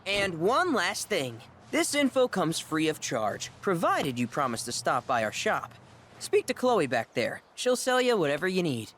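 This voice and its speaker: A cocky young ranch boy in a video game, with a Southern American twang. He haggles in a folksy, businesslike way, his pitch bouncing around. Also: huge pitch swings, unhurried, a bit bright, a little hiss or hum. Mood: instructive. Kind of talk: video game cutscene acting.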